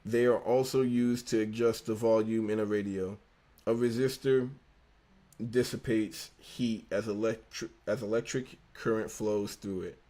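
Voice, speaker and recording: A man's voice: fairly low pitch, steady tone, measured pace, mellow. Laid-back young man with a slight lisp. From United States. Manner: laid-back, slangy, stumbles and rereads lines. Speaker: livestream host studying engineering. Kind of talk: casual livestream, reading a textbook aloud.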